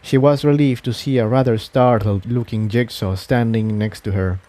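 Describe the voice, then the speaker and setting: A man reading scripted story narration as a fan fiction narrator, in a measured manner. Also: mid-range voice, steady tone, dark tone.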